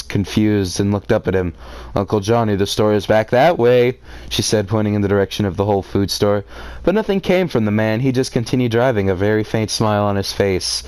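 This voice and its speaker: A man's voice: lowish voice, a little mellow, clear, resonant tone, no echo, poor audio. A man reading a story aloud and reacting to it with theatrical, exclamatory commentary and sarcastic asides. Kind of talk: live read-aloud with outraged commentary.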